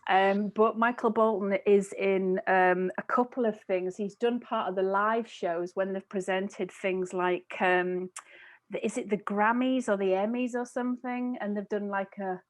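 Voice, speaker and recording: A woman in her thirties talks casually on a podcast panel. Her voice has an average pitch, and she feels her way through sentences with many halts and restarts. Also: steady tone, unhurried. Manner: hesitant, self-interrupting. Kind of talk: casual chat. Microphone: wired earphones on video call.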